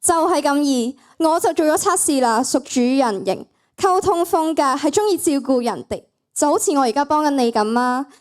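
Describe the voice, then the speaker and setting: A woman's voice: high voice, lively intonation, moderate pace. A teenage girl with a Hong Kong Cantonese accent playing a bossy, trend-savvy, teasing female friend in a student radio drama. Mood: teasing, persuasive.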